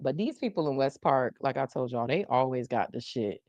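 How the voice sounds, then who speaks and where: A woman's voice: a bass voice, normal speed, dry recording. A woman hosting a live news podcast, talking casually and playfully to her viewers in the chat. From South Florida. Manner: animated, playful, talks to the chat. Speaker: podcast host.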